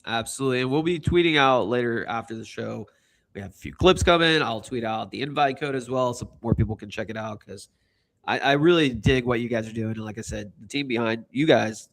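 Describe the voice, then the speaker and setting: A man's voice: medium pitch, bright, forceful tone. A man hosting a casual livestream, talking in a hyped, rambling, slangy way. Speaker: host.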